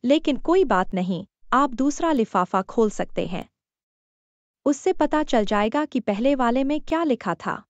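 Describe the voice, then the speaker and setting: Woman acting a clever, higher-pitched young village woman. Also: expressive, a little slow, no echo, muffled recording. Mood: feigned dismay, composed. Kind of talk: acted storybook dialogue.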